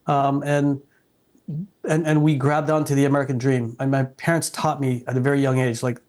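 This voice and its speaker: Casting: middle-aged Vietnamese-born man, earnest interview guest with a level, flat tone. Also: medium pitch, normal speed. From South Vietnam. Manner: earnest, respectful, frequent restarts.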